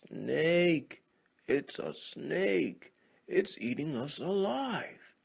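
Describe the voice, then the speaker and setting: A man voicing excitable, emphatic frogs in a storybook tale. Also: a little high, extremely slow, vocal fry, slightly rough, pitched above the performer's own voice, heavily acted. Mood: panicked, wailing. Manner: exclamatory, emphatic. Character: excitable frogs of a pond, pleading and shouting. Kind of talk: storybook character voices.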